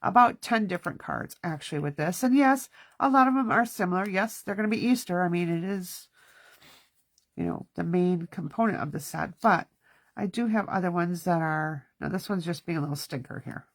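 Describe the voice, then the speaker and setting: A woman's voice: lowish voice, expressive, moderate pace, no room sound. A woman hosting a casual hands-on card-making class, talking to herself as she works, her nose stuffy. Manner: chatty, self-talking while working. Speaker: craft class host. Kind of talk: casual hands-on craft demonstration.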